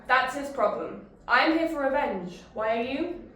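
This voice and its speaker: A woman's voice: high-pitched, expressive, unhurried, pushed and bright, very echoey, exaggerated voice. A woman playing a defiant young woman sniper, snappy.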